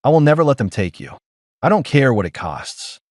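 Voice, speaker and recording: A fierce alien mother, desperate to protect her child, as a male narrator puts her on in an audiobook. The voice sits well above the narrator's own, louder and pushed, strained and resolute. It is a dry, close read. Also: mid-range voice, very animated, moderate pace, no room sound, pitched above the performer's own voice, close to an everyday voice.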